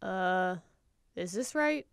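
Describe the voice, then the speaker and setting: An actress playing a weary, hesitant insect girlfriend in an animated film, speaking in a reluctant, understated, natural way. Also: medium pitch, slow, creaky, music in the background. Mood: awkward.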